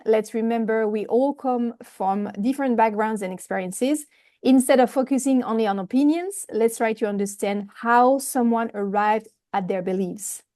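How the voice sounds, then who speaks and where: A woman with a fairly high voice and a strong French accent speaks on an online call. She is careful with her English and now and then halts over a word. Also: warm tone. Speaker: volunteer timekeeper at a group meeting. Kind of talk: reading a prepared announcement aloud.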